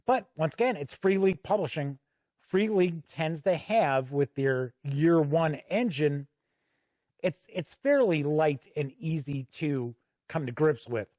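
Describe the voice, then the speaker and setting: A man's voice: fairly high pitch, darkish tone, no echo, studio quality. A man hosting a casual, unscripted video review, speaking conversationally and leaning on key words for emphasis. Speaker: tabletop game reviewer and channel host.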